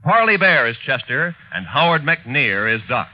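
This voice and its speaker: A man's voice: medium pitch, big pitch swings, moderate pace. A man announcing a radio show's credits in a formal, declamatory 1950s style. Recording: dull old radio recording.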